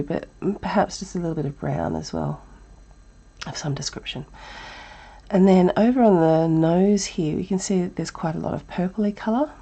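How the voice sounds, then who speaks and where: A woman with an Australian accent, teaching a relaxed live drawing lesson while thinking aloud, her voice croaky and breathy from a chest cold. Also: on the low side, dull audio. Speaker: art teacher.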